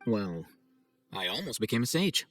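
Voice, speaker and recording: A man playing an arrogant young scholar in a video game, composed and deadpan, with a condescending dryness. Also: lowish voice, moderate pace, faint music behind. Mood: sardonic. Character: cool, arrogant young male scholar, dry and sardonic.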